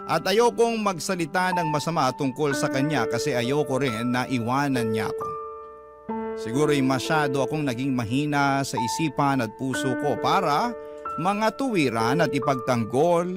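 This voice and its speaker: A man's voice: mid-range voice, moderate pace, close to an everyday voice. An actor with a Filipino accent narrating as a meek, reflective man confessing his love story in a scripted radio drama. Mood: reflective, sorrowful. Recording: loud instrumental music under narration.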